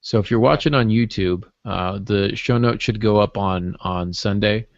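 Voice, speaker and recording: Deep, gravelly man in casual chat. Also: moderate pace, no room sound. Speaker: podcast co-host and author. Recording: dull, muffled lo-fi audio.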